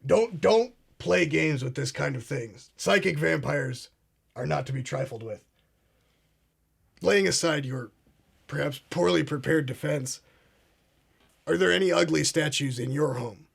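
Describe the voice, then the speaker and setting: Brief: a man doing a pompous conspiracy show host, gravelly and husky, a bit high. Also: a little slow, pauses often, exaggerated voice. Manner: grandiose, ominous, addresses "ladies and gentlemen". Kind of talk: comedic mock talk show.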